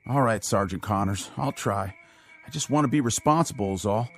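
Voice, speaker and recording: A man's voice: on the low side, brisk, fairly bright tone, slightly rough. An actor playing a nervous young army private who rambles about his fears. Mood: anxious. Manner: anxious, rambling.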